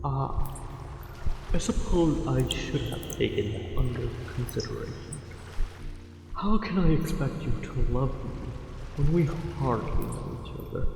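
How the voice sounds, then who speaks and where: A man's voice: medium pitch, lively intonation, measured pace, creaky. An actor playing a smitten angler merman in an ASMR roleplay, speaking formally and hesitantly with ornate, tender courtesy. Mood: courteous, gentle. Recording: cavernous echo with long reverb, music behind. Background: music.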